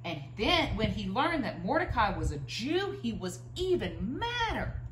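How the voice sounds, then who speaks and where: A woman's voice: on the high side, big pitch swings, unhurried. A woman in her thirties reads a children's Bible storybook aloud expressively, leaning on key words, recorded indoors a few feet from the camera. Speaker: story reader. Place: living room, indoors, by a stone fireplace. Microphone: camera a few feet away. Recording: roomy echo, faint room noise.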